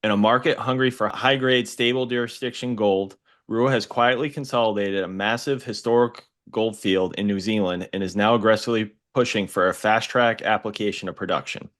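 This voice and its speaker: A man's voice: fairly low pitch, fairly even intonation, brisk, a bit bright. A man hosting an investing podcast, reading a polished scripted introduction. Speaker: podcast host.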